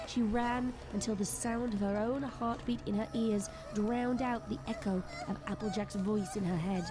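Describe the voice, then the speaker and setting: A woman giving dramatic scripted narration for an audio drama, expressive and suspenseful. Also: fairly high pitch. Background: prominent background music.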